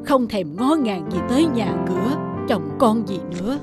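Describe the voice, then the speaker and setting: A woman voicing a worried, suspicious elderly mother-in-law with a reproachful manner in an animated drama. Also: mid-range voice, moderate pace, loud music behind the voice, natural voice. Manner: fretful, reproachful. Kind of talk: animated moral drama voice acting.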